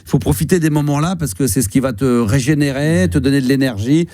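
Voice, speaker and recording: Man in his 50s, radio host, quick rambling talk, mellow voice, dry studio sound. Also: mid-range voice, no echo. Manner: rambling, many fillers and restarts. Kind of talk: casual radio chat with a caller.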